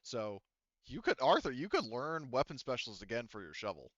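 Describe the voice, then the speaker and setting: A man's voice: mid-range voice, big pitch swings, brisk, fairly bright tone, no echo, highs cut off. A man talking casually and informally, with some swearing, as game master of a tabletop roleplaying game.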